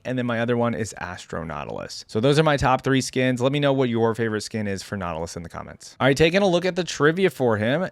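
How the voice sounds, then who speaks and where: A man's voice: mid-range voice, no room sound. Chatty man reacting casually, close dry sound. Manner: chatty, addresses viewers directly. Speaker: host of a game character reaction video. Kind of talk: casual reaction commentary mixed with reading aloud.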